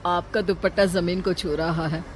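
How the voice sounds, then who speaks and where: A woman's voice: fairly low pitch, steady tone, moderate pace, a little hiss or hum, natural voice. A woman voicing a self-assured young male suitor, casual and persuasive, in a cartoon story. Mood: confident wooing.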